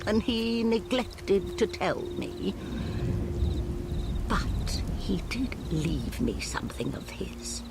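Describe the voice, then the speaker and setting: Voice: mid-range voice, big pitch swings, slow pace, vocal fry, noisy background, full character voice. An actor voicing an ancient talking owl in a video game, wry and cryptic, with folksy, theatrical musing. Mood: hushed foreboding. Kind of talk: video game cutscene acting.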